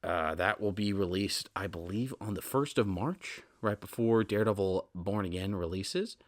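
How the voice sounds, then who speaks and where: Low-voiced rambling young man podcasting. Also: animated, normal speed. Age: 20s. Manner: rambling, self-interrupting with stammered repeats. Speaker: podcast host. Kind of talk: casual solo podcast monologue.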